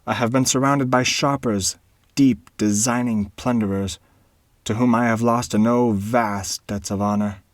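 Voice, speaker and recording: A man's voice: medium pitch, fairly even intonation, unhurried, no echo, studio quality, voice pushed up, natural voice. A man doing a pleading young baron. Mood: imploring. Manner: earnest, imploring.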